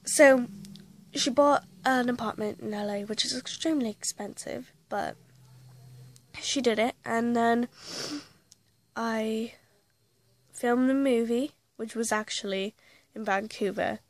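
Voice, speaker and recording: A woman's voice: fairly high pitch, steady tone, slow, pauses often, no room sound. A woman telling a rambling story in a casual vlog, correcting herself as she goes, with a slight cold and a voice that is starting to go. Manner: rambling, self-correcting, chatty. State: slight cold, losing her voice. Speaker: vlogger playing an invented actress.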